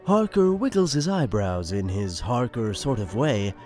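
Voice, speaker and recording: A man's voice: mid-range voice, big pitch swings, measured pace, music in the background. A man narrating a radio play in the present tense with a conversational storytelling manner.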